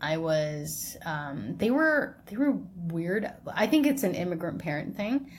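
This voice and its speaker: A woman's voice: lowish voice, very animated, measured pace. A young woman, co-hosting a podcast in casual, conversational chat, often checking in with the listener. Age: young adult. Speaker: podcast co-host.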